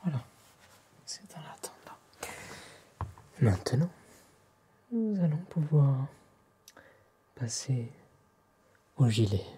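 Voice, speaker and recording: Slow, soft-spoken man, half whispering. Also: medium pitch, big pitch swings, a little hiss or hum. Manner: polite, formal, attentive customer service. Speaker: ASMR roleplayer playing a tailor.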